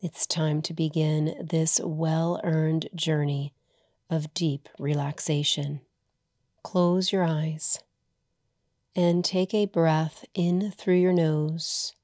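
Very slow, low, soothing woman guiding meditation. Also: little pitch movement, no room sound, clean studio sound. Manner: soothing, hushed, measured delivery with long pauses. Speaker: yoga nidra meditation guide. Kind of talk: guided meditation.

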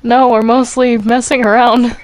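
A woman chatting casually in a game voice chat, hesitant and halting, often sounding confused. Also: fairly high pitch, level tone, low-quality recording. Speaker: gamer in a group roleplay.

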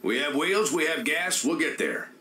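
A man's voice: on the high side, lively intonation, moderate pace, heavy background noise, close to an everyday voice. An actor playing a pragmatic, team-minded male survivor in a video game, informal and insistent. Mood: hopeful, calling out. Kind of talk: video game cutscene acting.

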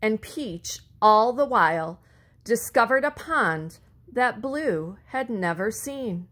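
A woman reading a children's story aloud expressively, with deliberate phrasing and words drawn out for effect. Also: on the high side, lively intonation, fairly bright tone. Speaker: storybook reader.

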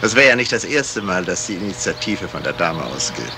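An actor playing a shrewd, courteous gentleman detective in a 1970s radio crime drama, speaking deliberately and probingly. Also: deep voice, big pitch swings. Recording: noisy vintage radio play recording.

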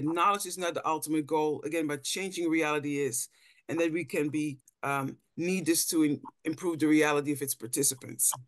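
A woman's voice: low-pitched, dry recording. A middle-aged woman from the Netherlands, an anthropologist giving a thoughtful talk with slides over a video call, often repeating words as she looks for phrasing. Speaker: panel speaker, anthropologist. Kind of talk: lecture-style talk with slides. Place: indoor room on a video call.

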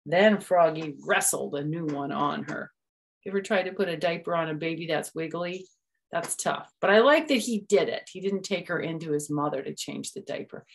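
A woman's voice: deep voice, normal speed, vocal fry. A middle-aged woman hosting storytime, reading a picture book aloud and adding simple explanations for young children. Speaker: storytime host. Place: small room at home.

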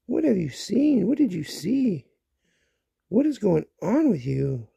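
A pet owner with a very gravelly, creaky and deeply mellow voice talks casually to a dog. The phrases repeat as coaxing questions and baby talk, with lively swings in pitch. It is a dull, lo-fi phone recording in a small bedroom. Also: slow, dry recording. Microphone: handheld phone held near the speaker.

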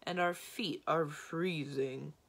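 A woman voicing a glum, loyal male monster with several heads, speaking in slow, mournful, ungrammatical phrases. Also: deep voice, fairly even intonation, creaky, breathy edge, full character voice. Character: three-headed male monster, glum, plodding, uneducated, loyal.

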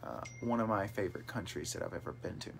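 A man's voice: low voice, quick pace, gravelly, slightly rough. A man in his late twenties hosting a casual family livestream, reading out viewer comments in an upbeat way, filmed on a phone held at arm's length in a small bedroom. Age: 20s. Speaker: host of a family livestream chat. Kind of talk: casual chat. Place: small bedroom with brothers on a couch. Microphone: phone camera held at arm's length.

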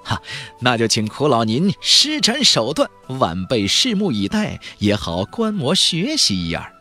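A young cultivator in a fantasy storybook played by a male narrator. He speaks politely and deferentially to his senior. The voice is husky, at a middling pitch. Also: wildly swooping pitch, unhurried, sharp tone, faint music behind, exaggerated voice. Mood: respectful. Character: young male cultivator, courteous and quietly amused junior. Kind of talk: audiobook character acting.

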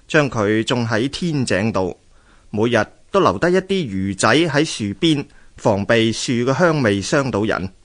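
A man with a Hong Kong accent narrating a radio drama as a scripted story. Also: medium pitch.

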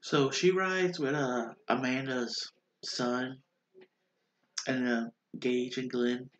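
A man's voice: mid-range voice, vocal fry, a little hiss or hum. Stuffy-nosed man rambling through a recap. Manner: rambling, filler-heavy, restarts a lot. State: stuffy, congested nose. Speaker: vlogger recapping a reality show. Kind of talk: casual recap chat. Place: small bedroom with posters on the wall. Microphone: webcam at desk.

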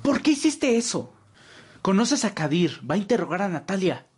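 A man's voice: high voice, very animated, normal speed, darkish tone, breathy edge, exaggerated voice. A man playing a principled, distraught vampire in an audio roleplay, pleading and indignant in manner. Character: distraught, principled male vampire challenging his leader. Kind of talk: ASMR audio roleplay acting.

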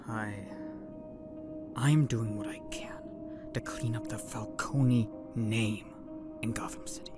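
Reluctant mob heir, acted by a man: mid-pitched, hesitant, conciliatory, clear music underneath. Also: steady tone, in a whisper, close to an everyday voice. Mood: placating, uneasy. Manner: halting, placating. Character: earnest, hesitant adult son of crime family. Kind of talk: comic book fan dub dialogue.

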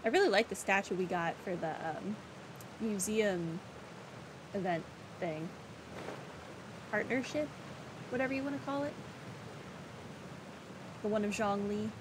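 A woman's voice: medium pitch, a little slow, pauses often, background noise. A woman streaming a game with casual, offhand commentary, half talking to herself.

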